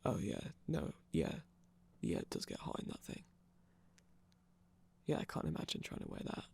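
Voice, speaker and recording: A performer voicing a nerdy vampire in a casual ASMR roleplay, explaining things in a hesitant, digressive, conversational way with a creaky voice. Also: moderate pace, a little mellow, close to an everyday voice. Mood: nerdy, rambling. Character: nerdy rambling vampire, eager fan explaining band lore.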